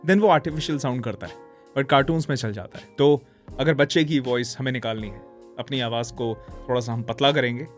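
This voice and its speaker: A man's voice: mid-range voice, brisk, faint music behind. Male voiceover instructor, middle register, instructional delivery. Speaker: voiceover coach. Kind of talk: instructional explanation with demonstrations.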